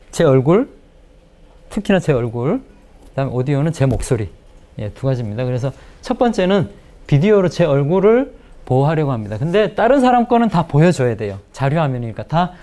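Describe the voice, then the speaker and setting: A man, giving a step-by-step software tutorial and repeating phrases as he explains. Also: on the high side, very animated, fairly quick. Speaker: video editing instructor. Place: indoor lecture room in front of a large screen. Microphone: lapel mic.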